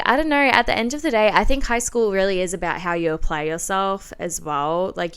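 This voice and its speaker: A woman's voice: medium pitch, a bit bright, smooth. A young woman with an Australian accent chatting casually on her own as a podcast host, informal, sweary and self-deprecating. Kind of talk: casual solo chat, personal storytelling.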